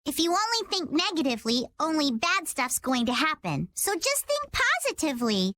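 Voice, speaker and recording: A woman's voice: sits high, sing-song, normal speed, bright, forceful tone, no echo, exaggerated voice. A woman voicing a perky, upbeat girl pirate in a video game, with chirpy sing-song exclamations. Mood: encouraging. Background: game music.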